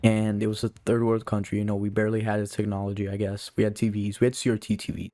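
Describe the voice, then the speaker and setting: A young man in his late teens with a fairly low voice and very even pitch. He talks to his viewers in a casual, informal ramble. His sentences wander and are dotted with "you know" and "like". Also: fast talker. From Cuba. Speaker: video creator.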